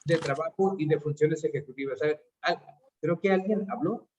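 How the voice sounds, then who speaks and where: A man's voice: a little high, normal speed, darkish tone, slight background noise. A man giving an online seminar on addiction counseling, explaining concepts step by step with frequent fillers. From Mexico. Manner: explanatory, uses fillers like "este".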